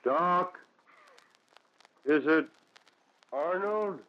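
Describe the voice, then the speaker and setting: An actor playing a cautious, kindly, uneasy judge in a 1940s radio thriller. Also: male voice, a little high, expressive, extremely slow. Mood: consoling, hushed. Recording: muffled 1940s radio recording.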